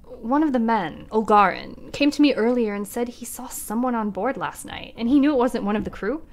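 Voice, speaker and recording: An actress playing a weary female ship captain recording her log in an audio drama, measured and uneasy. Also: a little high, animated. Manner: measured, tired, increasingly uneasy.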